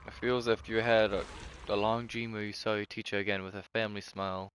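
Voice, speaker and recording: A man's voice: fairly low pitch, level tone, fast talker, a bit bright, slight background noise. A man streaming a video game, commenting casually and reading the story text aloud.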